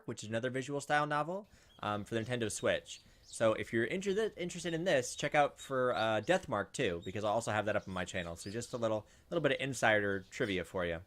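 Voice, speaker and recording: A man's voice: mid-range voice, lively intonation, quick pace, fairly bright tone. A man hosting a let's-play, chatting casually and thinking aloud with frequent ums and likes. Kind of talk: casual gameplay commentary.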